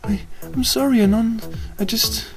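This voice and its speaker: A man's voice: very high voice, moderate pace, over music, voice pushed up. A man voicing a timid, shy young female pony who stammers when frightened. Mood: tearful.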